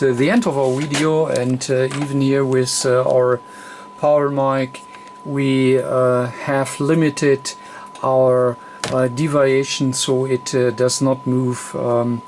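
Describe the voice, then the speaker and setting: Slow, halting man with a voice. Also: mid-range voice, a little mellow, a little hiss or hum. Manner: halting, hesitant delivery in short broken phrases. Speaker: radio repair technician presenting a tutorial. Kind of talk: unscripted bench demonstration commentary.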